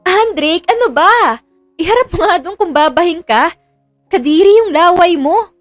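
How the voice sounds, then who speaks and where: Woman acting playful young woman, very high-pitched, whiny, pouty, exclaiming, audio story, close dry sound with music. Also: lively intonation, measured pace, no echo. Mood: teasing, whiny. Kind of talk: dramatized audio story dialogue.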